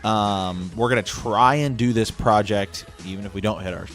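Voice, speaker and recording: Male podcast host, lower-pitched, casual conversational delivery, faint music underneath. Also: normal speed, a bit bright.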